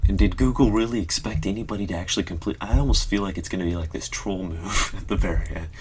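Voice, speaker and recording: A man's voice: low-pitched, fast talker, darkish tone, slight background noise. A man chatting casually and rambling in a self-deprecating way while playing a computer game on a live stream.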